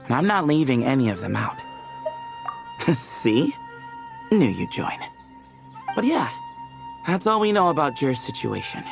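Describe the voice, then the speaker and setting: A man's voice: mid-range voice, very animated, a little slow, gravelly, pauses often, over music. A man voicing a laid-back, teasing young temple leader who chuckles and jokes in game cutscene dialogue. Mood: easygoing.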